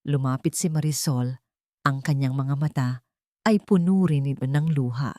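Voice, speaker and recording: A woman's voice: rumbling low, moderate pace, warm tone, no room sound, studio quality. A woman with a Filipino accent, reading a scripted love story as a dramatic audiobook narrator.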